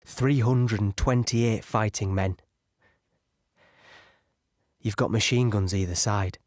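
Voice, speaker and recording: A man playing a weary young infantryman, recalling battle in a halting, conversational audio-play monologue. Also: on the low side, fairly even intonation, a little mellow, no echo, clean studio sound. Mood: grim, reflective.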